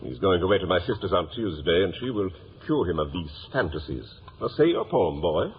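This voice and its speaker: A man's voice: low-pitched, sing-song, fairly quick. A man playing a stern, sarcastic, commanding father in 1950s radio drama style. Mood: stern scorn. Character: stern, mocking, scornful father. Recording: tinny, hissy vintage radio recording.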